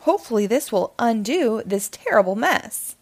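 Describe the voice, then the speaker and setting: A woman voicing an eager, boastful, easily flustered male cat in a children's storybook, in an excitable, dramatic manner. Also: a little high, normal speed. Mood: hopeful. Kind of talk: animated children's storybook character reading.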